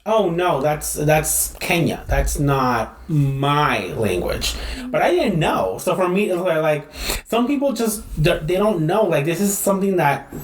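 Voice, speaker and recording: A man co-hosting a casual podcast chat, animated, laughing often and acting out quotes. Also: fairly high pitch, measured pace. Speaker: podcast co-host. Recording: echoey room.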